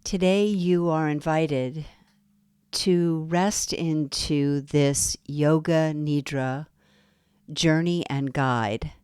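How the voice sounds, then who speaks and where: A woman guiding a yoga nidra relaxation, speaking softly and soothingly with long pauses between phrases. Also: low-pitched, steady tone, smooth, no echo, clean studio sound. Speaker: meditation guide. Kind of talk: guided yoga nidra meditation.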